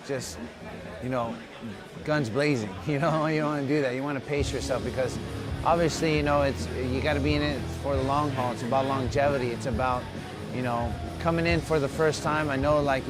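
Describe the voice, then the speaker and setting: A man whose first language is Tagalog, a fighter answering interview questions in a loose, upbeat way, full of "you know" and "man.". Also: mid-range voice. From Philippines. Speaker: mixed martial arts fighter, interviewee. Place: gym.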